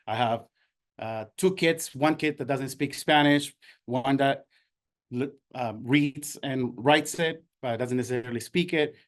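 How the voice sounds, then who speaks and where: A man in his forties from Ecuador leads a workshop presentation. His voice sits at a middle pitch, neither high nor low. He speaks earnestly, with fillers and restarts as he works through his points. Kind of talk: presentation talk.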